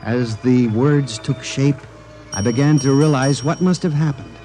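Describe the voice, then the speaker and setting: An actor playing an obsessed, fearful office clerk, narrating in an earnest, theatrical 1950s radio drama manner. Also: male voice, mid-range voice, measured pace, mellow, natural voice. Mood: awed, ominous. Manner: intense, earnest, formal, theatrical. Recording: 1950s radio broadcast with music behind.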